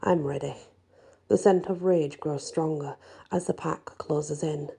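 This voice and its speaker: A woman narrating a first-person fantasy story in an expressive, deliberate storytelling style. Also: deep voice, creaky, husky. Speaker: story reader.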